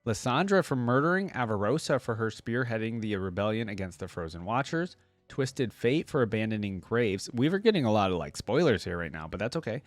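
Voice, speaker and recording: A man's voice: on the low side, big pitch swings, brisk, dry recording, soft background music. A man hosting a reaction channel, commenting casually and thinking aloud in an informal way, sometimes reading out text.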